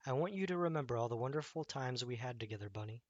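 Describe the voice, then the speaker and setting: A man's voice: medium pitch, expressive, quick pace, dry recording, dull audio, lightly acted. A man voicing a young boy in a children's storybook, affectionate and sincere toward his toy. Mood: sad.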